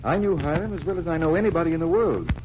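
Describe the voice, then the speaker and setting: Casting: man playing a commanding, determined detective, very animated intonation, vintage radio drama, dull noisy recording. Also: a little high, very fast, lightly acted. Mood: adamant. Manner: insistent, authoritative. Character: brusque, determined adult male magician-detective. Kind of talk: 1940s radio drama acting.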